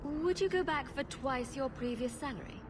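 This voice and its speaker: A woman's voice: high-pitched, normal speed, noisy background, natural voice. A woman voicing a confident, sardonic hero in a video game. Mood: matter-of-fact. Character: confident, wry adult female hero.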